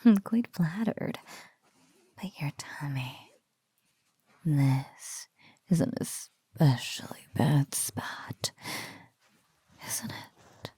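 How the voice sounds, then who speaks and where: A woman playing a teasing, doting spider-woman captor in an ASMR roleplay, speaking softly in breathy whispers and lingering playfully over the words. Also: low voice, expressive, no room sound, heavily acted. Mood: mock-sweet, teasing. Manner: slow, soft, breathy, lingering teasing delivery.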